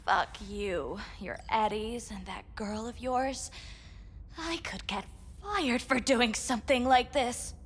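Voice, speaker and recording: An actress playing a hard-nosed, defensive woman who works at a pleasure club in a video game, curt and husky. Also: fairly high pitch, slow. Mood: irritated, grudging. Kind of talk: naturalistic video game acting.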